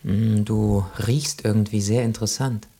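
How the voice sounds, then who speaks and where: A man's voice: fairly low pitch, fairly even intonation, moderate pace, vocal fry, warm tone, slightly rough. An actor playing a naive, pampered male cat in a radio play, speaking in an earnest, slightly stiff way. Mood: puzzled, naive. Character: naive, earnest, pampered tomcat newly in love. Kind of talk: German radio play acting.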